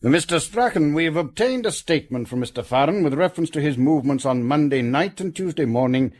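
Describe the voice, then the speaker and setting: An actor playing an authoritative British official questioning suspects in a radio detective drama, formal, measured and insistent. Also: male voice, on the high side, expressive, a little mellow. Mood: brisk, curious.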